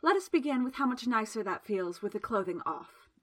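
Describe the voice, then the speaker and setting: A woman's voice: fairly high pitch, expressive, brisk. A woman voicing Miss Absalom, a teasing temptress. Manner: soft, coaxing and flirtatious. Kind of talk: audiobook character voice.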